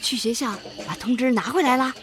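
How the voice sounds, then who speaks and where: A woman's voice: fairly high pitch, brisk, close to an everyday voice. An actress in a radio drama playing a caring, fussing middle-aged farm wife and mother, homely in manner. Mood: busy. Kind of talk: Chinese radio drama acting. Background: music.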